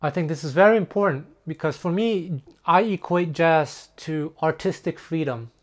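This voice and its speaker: A middle-aged man who grew up speaking Taiwanese, a music teacher talking casually to camera in an earnest, reflective, slightly halting way. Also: a little high. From French-speaking Canada. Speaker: guitar teacher. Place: small room indoors.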